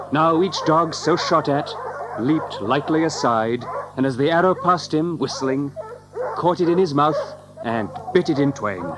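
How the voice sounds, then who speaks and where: A man's voice: on the high side, background noise. A man narrating an adventure audiobook in a storytelling manner with old-fashioned literary phrasing. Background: music under the narration.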